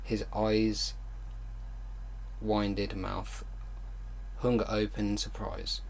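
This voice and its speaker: A man hosting an online video, reading fan fiction aloud haltingly with exasperated, disbelieving commentary. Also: on the low side, monotone, vocal fry, a little hiss or hum, poor audio.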